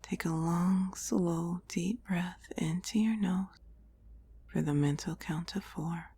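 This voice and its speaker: A woman whispering a guided meditation in a breathy, soothing, deliberate voice with long pauses between phrases. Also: lowish voice, steady tone, dark tone. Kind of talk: whispered guided relaxation, ASMR-like scripted narration.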